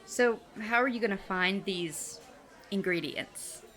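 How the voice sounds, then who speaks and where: A woman's voice: mid-range voice, takes it slowly, a bit bright, big-room sound, soft background music. A woman playing a sarcastic, exasperated teenage girl, dry and sceptical, in an audio drama. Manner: dry, sardonic, nagging.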